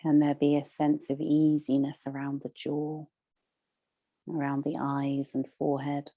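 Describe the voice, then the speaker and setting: A woman leading a guided meditation as a teacher, soothing and measured, with long pauses between phrases. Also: low voice, monotone, mellow.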